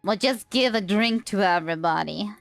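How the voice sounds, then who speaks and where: A woman's voice: medium pitch, moderate pace, vocal fry, faint music behind. A woman livestreaming a game, giving casual live commentary as she plays.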